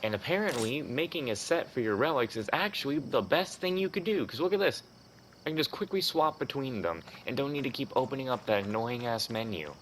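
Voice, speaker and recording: A man's voice: mid-range voice, lively intonation, a little fast, slight background noise. A man hosting a gaming playthrough with casual, slangy and profane commentary. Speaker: gaming video host.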